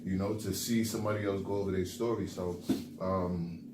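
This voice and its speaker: A man's voice: low voice, steady tone, fairly quick, music in the background. A young man from the Bronx, co-hosting a motivational podcast in a laid-back way, full of fillers. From the Bronx, New York. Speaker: podcast co-host. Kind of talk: casual motivational chat. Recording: echoey room with background noise.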